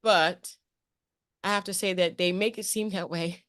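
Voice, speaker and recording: A woman hosting a casual interview, expressive and emphatic, often repeating phrases to drive a point home. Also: on the low side, unhurried, dry recording, clean studio sound. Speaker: interview show host. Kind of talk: casual chat.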